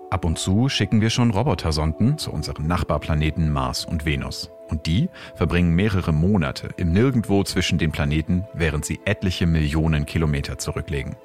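Very deep-voiced male science podcast narrator. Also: a little mellow. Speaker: host and science presenter. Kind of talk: scripted explanatory narration.

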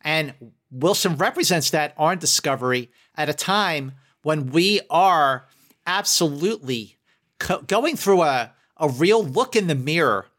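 Bright, higher-pitched middle-aged man, effusive. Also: animated, measured pace. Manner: effusive, emphatic, repeats words for emphasis. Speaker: guest film critic and entertainment journalist. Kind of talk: enthusiastic podcast discussion.

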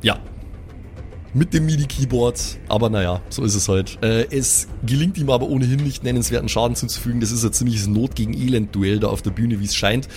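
A man's voice: mid-range voice, brisk, darkish tone, slight background noise. A man improvising colloquial, dramatic narration as game master of a pen and paper audio play.

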